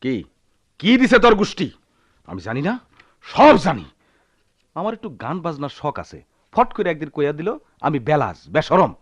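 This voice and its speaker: ওঠানামা ভরা গলার বদমেজাজি গ্রাম্য স্বামী. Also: male voice, fairly high pitch, big pitch swings, normal speed, long pauses, dull audio. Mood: angry, defiant. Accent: rural Bangladeshi. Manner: theatrical, defiant, argumentative. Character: proud, hot-tempered poor village husband who loves folk song. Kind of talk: Bengali radio drama acting.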